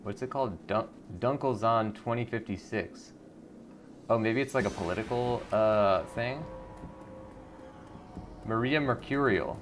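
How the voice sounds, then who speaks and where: A man's voice: on the low side, measured pace, pauses often, faint music behind. A man hosting a gaming video, giving casual commentary and reading the game's text aloud. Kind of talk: casual game commentary with read-aloud narration.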